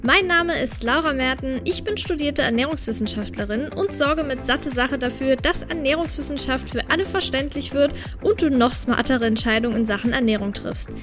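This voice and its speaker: A woman's voice: high-pitched, normal speed, few pauses, over music. A woman in her thirties hosting an interview podcast in a casual, colloquial way. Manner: chatty, colloquial. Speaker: podcast host.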